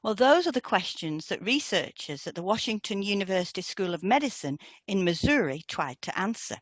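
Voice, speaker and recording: A woman's voice: mid-range voice, very animated, a little fast, dry recording. A woman presenting a scripted educational talk as a narrator.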